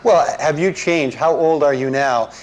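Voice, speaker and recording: A man's voice: a little high, measured pace, rough recording. A man talking as a guest panelist in a conversational film discussion, explaining his points.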